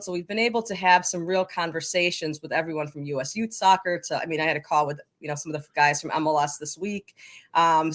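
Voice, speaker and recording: A woman's voice: on the low side, a bit bright. A woman with an American accent talking casually as an interview guest. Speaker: podcast guest, coaching organization leader.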